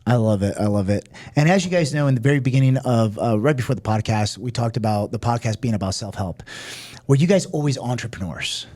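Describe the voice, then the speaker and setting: A man's voice: mid-range voice, a little fast, warm tone. A man hosting a podcast interview, chatty and enthusiastic, with frequent affirmations. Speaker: podcast host. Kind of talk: casual interview chat.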